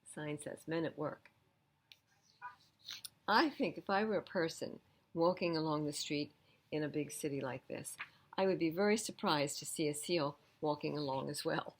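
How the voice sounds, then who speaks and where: A woman's voice: deep voice, moderate pace. A woman reading a picture book aloud for children at a storytime, adding conversational asides about the pictures. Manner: explains pictures and adds gentle asides to young listeners. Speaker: children's storytime reader at a library.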